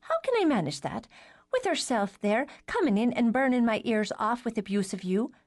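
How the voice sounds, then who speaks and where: A woman voicing a soft-hearted, eager young teenage girl with a prim, lilting manner in a storybook reading. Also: fairly high pitch, very animated, moderate pace, pitched above the performer's own voice. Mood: indignant.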